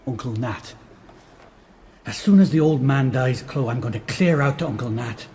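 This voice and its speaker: A man's voice: mid-range voice, normal speed, warm tone, big-room sound, lightly acted. An actor playing a restless, scornful young landowner's son who grumbles about his family's rotting estate. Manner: gruff, grumbling, gravelly.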